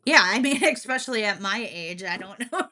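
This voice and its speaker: A woman with a bright, clear-cut voice at an ordinary pitch co-hosts a podcast. She talks in a casual, reflective way and comes across as agreeable and lightly self-deprecating. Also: expressive, normal speed. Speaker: podcast co-host.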